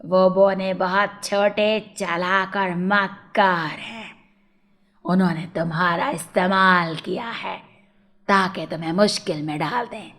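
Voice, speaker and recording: A woman's voice: mid-range voice, steady tone, fairly bright tone, voice pushed down. A woman voicing a cave witch from a children's moral story, drawing out her words with heavy emphasis. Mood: stern, reassuring. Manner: slow, drawn-out, emphatic delivery. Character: menacing female cave witch with a fair, honest streak.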